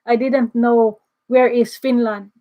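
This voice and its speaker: A woman's voice: a little high, flat delivery, slow, warm tone. A middle-aged woman from the Philippines with a Filipino accent, whose first language is Bisaya, hosting a casual livestream chat, reading comments and often laughing and restarting her sentences. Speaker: livestream host.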